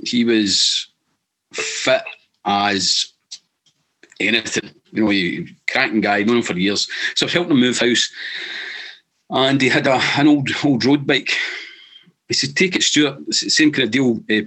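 A middle-aged man with a Scottish accent, telling his story as a guest in an earnest, halting way with many restarts. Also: mid-range voice, bright, forceful tone, slightly rough, poor audio. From Scotland. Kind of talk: casual storytelling.

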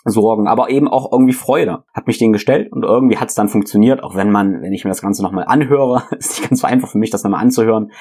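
A man's voice: lowish voice, fast talker, hardly any pauses, dark tone, slightly rough. A young man hosting his own podcast, talking earnestly and reflectively about his path, with frequent fillers and repeated words. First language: German. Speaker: podcast host. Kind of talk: reflective solo podcast monologue.

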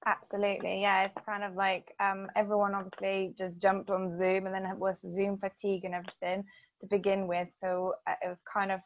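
A woman from Lithuania with a Lithuanian accent and native language, answering interview questions hesitantly, with many filled pauses. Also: mid-range voice, completely flat delivery, no room sound, lo-fi sound. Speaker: guest, events marketing business owner. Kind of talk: casual interview chat.

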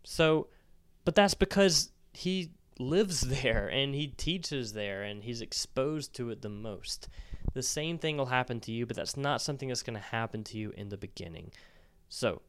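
A man's voice: medium pitch, lively intonation, moderate pace. A young man hosting a language-learning podcast, talking casually and encouragingly with an occasional restart. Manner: conversational, encouraging, restarts mid-word. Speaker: Spanish-learning podcast host. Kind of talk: casual solo podcast advice.

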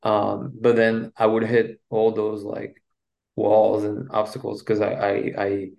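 A man from Belgium whose first language is French, speaking English with a Belgian French accent, recounting his past as a podcast guest in a halting way with frequent restarts. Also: lowish voice, completely flat delivery, creaky, darkish tone. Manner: halting, with many restarts and fillers. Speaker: guest, relationship coach. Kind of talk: personal story in a podcast interview.

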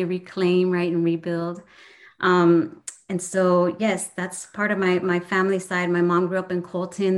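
Earnest woman guest speaking slightly low. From Southern California. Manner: warm, earnest, frequent ums and likes. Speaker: guest, professor. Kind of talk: casual conversation.

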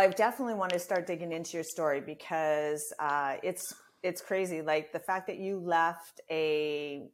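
Low-pitched woman hosting a podcast. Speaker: podcast host interviewer. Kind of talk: casual podcast interview chat.

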